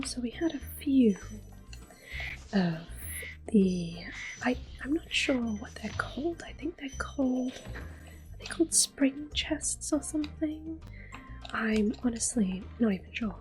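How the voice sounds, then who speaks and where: A woman whispering and speaking softly as she plays through a video game, gentle and a little hesitant, pausing with ums. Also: on the high side, rough recording. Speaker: ASMR gameplay creator. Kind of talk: soft-spoken ASMR gameplay commentary. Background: faint game music and controller clicks.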